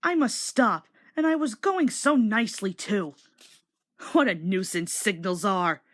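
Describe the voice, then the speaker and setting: A woman voicing a cheeky, self-important young male train engine in a storybook, fussy and excitable. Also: medium pitch, unhurried, close to an everyday voice. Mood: annoyed.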